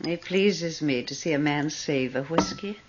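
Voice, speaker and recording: A woman playing an elderly, wealthy, self-serving widow in a radio drama, speaking in a genteel, deliberate, insinuating manner with a husky voice. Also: sits low, a little hiss or hum. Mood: genteel, prickly. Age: older. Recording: dull lo-fi radio recording.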